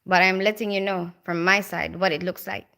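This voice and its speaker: Bright-voiced chatty Filipino woman streaming live. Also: mid-range voice, flat delivery, normal speed. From Philippines. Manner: chatty, lots of fillers like "like" and "you know". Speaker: livestream host. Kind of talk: casual livestream chat reading viewer comments.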